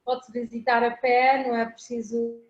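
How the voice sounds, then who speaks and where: A woman with a European Portuguese accent, raised in southern Africa, who manages a safari company. She speaks in interview answers and walks through a route, often pausing on "pronto". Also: on the high side, little pitch movement. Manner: hesitant, fills with "pronto". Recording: roomy, dull lo-fi call audio.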